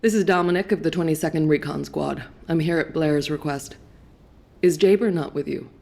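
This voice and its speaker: A woman's voice: sits low, moderate pace, gravelly, dark tone. A woman playing a no-nonsense recon squad soldier in a video game, blunt and serious. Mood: businesslike. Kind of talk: video game dramatic acting.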